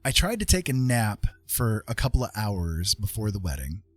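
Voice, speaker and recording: A man's voice: fairly low pitch, very animated, moderate pace, no echo. A man hosting a livestream, telling a personal story in a casual, rambling and informal way. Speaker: livestream host.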